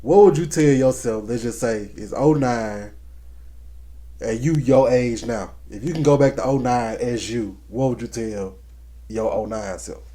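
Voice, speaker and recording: A man's voice: mid-range voice, mellow. A man in his thirties hosting an interview, easygoing and agreeable, asking casual questions. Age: 30s. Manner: easygoing, agreeable, quick back-channel replies. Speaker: interviewer host.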